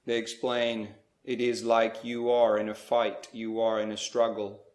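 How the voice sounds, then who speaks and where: A man leading a slow guided spiritual meditation, speaking in measured short phrases with pauses between them. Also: fairly low pitch, completely flat delivery, a little mellow, echoey room.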